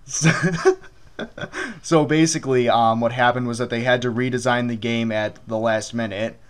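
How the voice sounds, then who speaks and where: A man's voice: medium pitch, fairly even intonation, normal speed, smooth. A man chatting casually through a let's play with friends, swearing freely and joking as he goes. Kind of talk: casual gaming commentary with friends.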